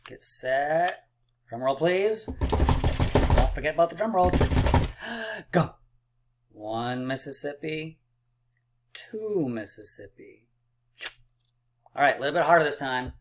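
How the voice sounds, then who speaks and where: Man, teacher, upbeat kids' math lesson, encouraging, slightly hoarse. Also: medium pitch. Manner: upbeat and encouraging, playful drum rolls and counting aloud. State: losing his voice, clearing throat. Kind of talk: math lesson video for kids.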